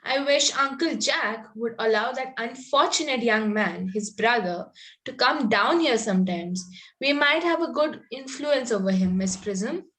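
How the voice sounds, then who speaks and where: Woman acting young Victorian girl, fairly high voice, artless, romantic, pert, politely contrary, stage comedy read-through. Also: lively intonation, measured pace, a bit bright, low-quality recording. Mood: artless, earnest.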